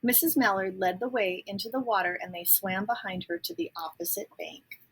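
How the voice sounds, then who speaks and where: A woman reading a story aloud to young children, pausing to explain words and ask questions. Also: medium pitch, fairly bright tone, slightly rough, low-quality recording. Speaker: picture book reader.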